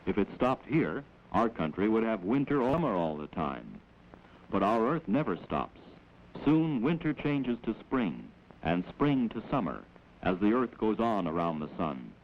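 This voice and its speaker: Animated low-voiced 1940s American film narrator, male. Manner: measured, explanatory, instructive. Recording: dull, noisy old 16mm film soundtrack.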